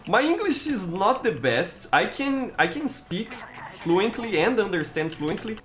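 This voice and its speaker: A man's voice: sits high, sing-song, unhurried, fairly bright tone. A man with a Brazilian accent, a native Portuguese speaker, chatting casually as a game streamer and often repeating words as he searches for them. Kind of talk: casual gameplay commentary, answering chat.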